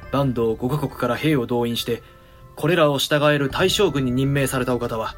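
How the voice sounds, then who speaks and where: A man acting a sober, authoritative samurai regent in a voice drama, formal, firm and measured. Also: mid-range voice, soft background music, natural voice. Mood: proclaiming. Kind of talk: voice drama acting in period Japanese.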